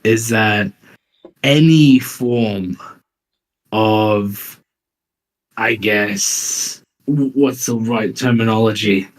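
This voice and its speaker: Slow-talking, jokey man streaming. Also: lowish voice, poor audio. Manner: jokey, sarcastic. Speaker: streamer reacting to a video. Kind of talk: casual mocking chat with swearing.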